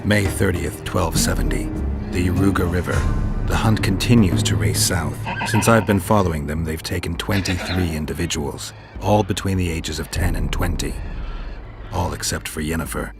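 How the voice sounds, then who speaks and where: A very deep-voiced, world-weary monster hunter narrates his memories for a game cutscene. He is grim and brooding, speaking in terse, deliberate phrases. Loud music plays beneath his voice. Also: vocal fry. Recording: studio voice under loud music. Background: loud dramatic score.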